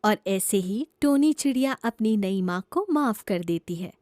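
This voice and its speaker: A woman's voice: mid-range voice, expressive, unhurried, a little mellow, no echo, clean studio sound. A woman narrating a scripted children's story as a storyteller.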